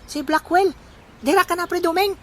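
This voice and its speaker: Squeaky, eager greedy villager. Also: male voice, normal speed, very noisy. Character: eager, greedy, excitable male village rooster owner. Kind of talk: comedy audio drama dialogue.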